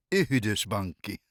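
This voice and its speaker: A man's voice: lowish voice, sharp tone, no echo, clean studio sound. A middle-aged or older man chatting casually and humorously, telling anecdotes in a wry way and often amused by his own jokes. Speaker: expatriate living in Finland.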